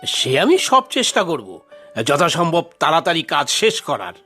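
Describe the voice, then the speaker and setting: A man's voice: fairly high pitch, wildly swooping pitch, normal speed, pushed and bright, faint music behind, heavily acted. A man voicing a bossy, scheming site manager in a cartoon, fawning or scolding. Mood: fawning, reassuring. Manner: obsequious to superiors, scolding to workers. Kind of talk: cartoon voice acting.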